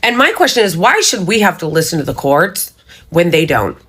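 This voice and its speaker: A woman's voice: lowish voice, sing-song, normal speed, fairly bright tone, husky. An American woman giving an indignant political rant for social media, blunt and swearing freely.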